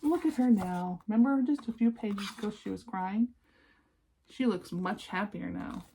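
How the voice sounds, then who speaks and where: A woman reading a picture book aloud expressively, with gentle, encouraging asides spoken directly to young listeners. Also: medium pitch, moderate pace, gravelly, a little hiss or hum. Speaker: children's read-aloud presenter.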